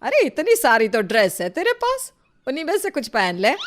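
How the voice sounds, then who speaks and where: Bossy older mother-in-law for a cartoon moral tale, acted by a female narrator: high-pitched, scolding, jealous, grumbling. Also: huge pitch swings, moderate pace. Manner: scolding, grumbling, forceful delivery. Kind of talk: animated moral story character voice.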